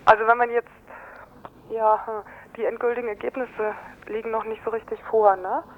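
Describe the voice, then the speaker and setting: A woman's voice: fairly high pitch, level tone, normal speed. A woman being interviewed as a resident, answering informally and hesitantly, deliberating as she goes. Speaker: interviewed resident of a housing project. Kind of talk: spontaneous phone interview. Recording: muffled noisy telephone line.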